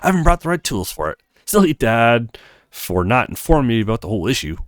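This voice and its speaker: A man's voice: medium pitch, sing-song, fast talker, dry recording, studio quality, lightly acted. A man voicing a friendly, frank young female handywoman in a visual novel, breezy and reassuring. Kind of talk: acted visual novel read-aloud.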